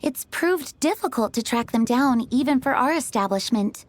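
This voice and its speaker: A woman's voice: sits high, expressive, normal speed, dry recording. A woman playing a polite, polished antiques dealer in a video game, with a courteous, businesslike manner. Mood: polite, regretful. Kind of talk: video game character dialogue.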